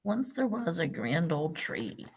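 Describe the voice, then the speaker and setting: A woman reading a picture book aloud to young children, pausing for simple questions and explanations like a teacher. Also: lowish voice, sing-song, slight background noise. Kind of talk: read-aloud storytime with asides and questions to young listeners.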